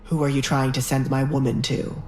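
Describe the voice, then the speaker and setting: A woman voicing a possessive, quietly unhinged young nobleman in an audio romance drama, low-toned and threatening. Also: flat delivery, normal speed, faint music behind. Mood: menacing, mocking.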